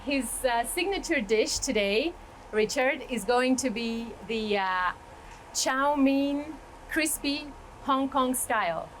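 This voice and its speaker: A woman's voice: high-pitched, expressive, takes it slowly, slight background noise, rough recording. Woman hosting a show. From Colombia. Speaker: host. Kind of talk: hosting an online cooking show.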